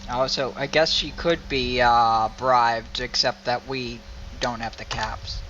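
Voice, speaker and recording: A man's voice: medium pitch, steady tone, slow pace, bright, forceful tone, highs cut off, a little hiss or hum. A man giving casual playthrough commentary as host of a gaming video. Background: game music.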